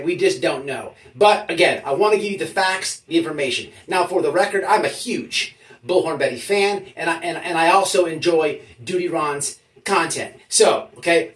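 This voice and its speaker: A YouTube host giving mock-serious commentary in an emphatic, halting, mock-official way, often checking in with listeners. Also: medium pitch, fairly bright tone. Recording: roomy echo, distant from the mic.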